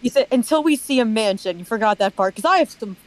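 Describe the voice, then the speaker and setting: A woman with a fairly high voice joins in a casual group commentary. She is sardonic and blunt, and she swears freely as she picks things apart. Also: expressive, fast talker. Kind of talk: casual chat mocking a story.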